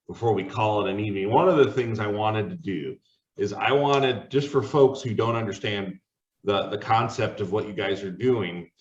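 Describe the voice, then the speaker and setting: A man hosting a casual talk show, chatting informally. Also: lowish voice. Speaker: host. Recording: roomy, distant, dull microphone sound.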